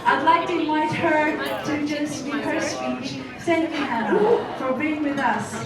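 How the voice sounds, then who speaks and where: A woman with a high voice introduces speakers as an event host, taking her time. She sounds distant in a strongly echoing hall. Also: a little slow. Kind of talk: event announcements. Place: large event room with audience. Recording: echoey hall, distant mic, audible crowd.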